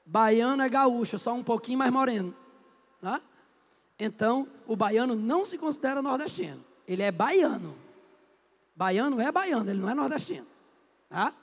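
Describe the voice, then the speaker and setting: A man's voice: very high voice, animated, normal speed, creaky, long pauses. A middle-aged man from Northeast Brazil, giving a chatty, joking history lecture and answering his own questions. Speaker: history teacher. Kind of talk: lively classroom lecture. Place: classroom. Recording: echoey classroom with long reverb.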